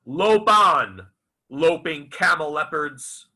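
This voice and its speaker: A man reciting his own literary fiction as its author, in a theatrical, deliberate and emphatic manner. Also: a little high, lively intonation, pushed and bright, slightly rough. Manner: dramatic, deliberate, emphatic with repeated phrases. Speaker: author reading own novel aloud.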